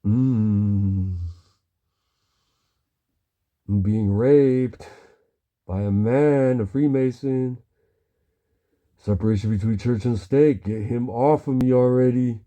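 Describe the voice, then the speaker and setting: A man talking in a halting, rambling monologue, murmuring and pausing with frequent low hums. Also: dark tone.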